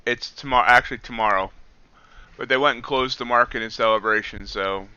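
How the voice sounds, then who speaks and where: A hoarse man hosting a livestream, chatting casually as he reacts to the chart and greets viewers. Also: mid-range voice, fairly quick, bright, forceful tone, highs cut off. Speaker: futures trader hosting a livestream. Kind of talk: casual live-stream market commentary.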